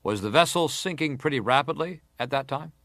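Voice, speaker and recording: A male senator grilling a witness, formal and insistent, pitch swings a lot, dramatized inquiry. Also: animated, brisk, fairly bright tone, no echo, clean studio sound. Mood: probing, formal. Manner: formal, pressing, interrogating. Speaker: actor reading testimony. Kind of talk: dramatized reading of hearing testimony.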